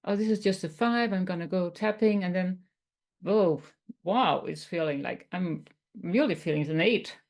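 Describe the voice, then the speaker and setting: A woman from Denmark with a Danish accent, teaching tapping in a webinar in a gentle, explanatory way with some non-native phrasing. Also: on the low side, moderate pace. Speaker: tapping coach.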